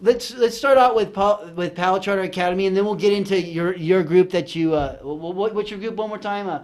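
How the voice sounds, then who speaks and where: Guy hosting a local talk show, kinda high voice, stumbles and repeats himself but super hyped. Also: a little mellow. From San Bernardino, California. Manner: enthusiastic, halting with repeated words. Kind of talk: casual chat.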